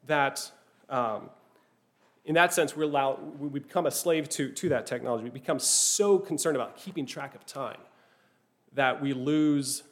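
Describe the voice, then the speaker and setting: A man teaching a class, speaking thoughtfully, often restarting phrases and checking in with "right?". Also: mid-range voice. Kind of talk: classroom lecture.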